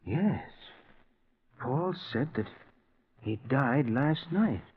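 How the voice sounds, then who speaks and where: An actor playing a skeptical, anguished middle-aged father in a 1940s radio suspense drama, with theatrical, emphatic delivery that turns halting when he is shaken. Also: medium pitch, animated, husky, heavily acted. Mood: stunned, halting. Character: skeptical, anguished father, stubborn and easily agitated. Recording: muffled vintage 1940s radio recording.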